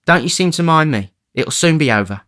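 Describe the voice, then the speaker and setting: A man voicing a weary, pale young woman who speaks softly and pleads tenderly. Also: medium pitch, normal speed, gravelly, clear, resonant tone, voice pushed up. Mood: pleading, tender.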